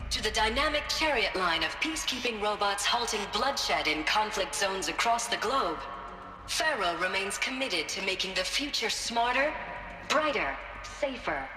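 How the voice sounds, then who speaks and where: An actress voicing a polished, upbeat corporate promotional narrator in a scripted video game announcement. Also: female voice, mid-range voice, close to an everyday voice. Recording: echoing hall reverb over music. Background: music.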